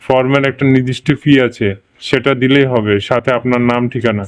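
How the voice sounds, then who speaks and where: A man's voice: medium pitch, steady tone, fairly quick, no room sound, close to an everyday voice. A man playing a polite scam caller who poses as a company agent, with a Bangladeshi accent and a formal, explanatory manner. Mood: patient, persuasive. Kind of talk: scripted prank phone call dialogue.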